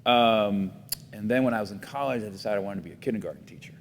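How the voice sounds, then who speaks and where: Man, middle-aged, from Miami, low voice, reflective, many fillers, echoey room. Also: fast. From Miami, United States. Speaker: guest, foundation CEO. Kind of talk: casual interview talk. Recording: roomy reverb, faint rumble.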